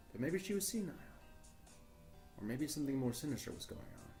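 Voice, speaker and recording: A man playing an earnest, troubled journalist, narrating a horror audio drama in a deliberate, formal storytelling way. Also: on the low side, dark tone. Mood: troubled, incredulous. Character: earnest, troubled male journalist recording his search for missing partner. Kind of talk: first-person horror audio drama monologue.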